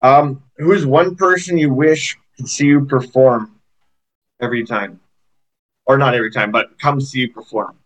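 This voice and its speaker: A man's voice: medium pitch, fairly even intonation, normal speed, a bit bright, low-quality recording. A man interviewing a rapper, asking loose, rambling questions in an easygoing way.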